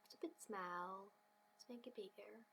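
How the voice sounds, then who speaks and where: A young woman with a mid-range voice chats on a relaxed drawing stream. She rambles between laughs and often sinks into a soft whisper. A faint hiss sits under her computer mic. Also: medium pitch, normal speed, gravelly. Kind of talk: casual chat while drawing.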